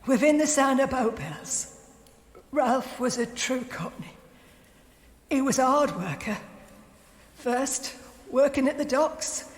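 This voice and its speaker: A woman playing a grieving widow in her sixties, delivering a eulogy in an audio drama. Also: fairly high pitch. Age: 60s. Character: grieving elderly working-class widow giving a eulogy. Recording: echoey hall with long reverb.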